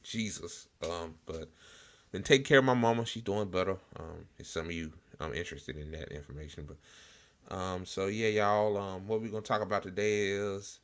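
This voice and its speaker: An American man hosting a political commentary show, speaking casually and unscripted in an informal, halting way. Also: low-pitched. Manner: informal, hesitant, halting delivery with blunt slang.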